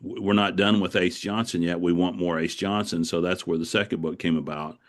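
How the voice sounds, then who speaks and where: Middle-aged male author as interview guest, low voice, conversational and reflective, with a rambling explanatory style. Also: fairly even intonation, fairly quick. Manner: discursive, circling back as he explains.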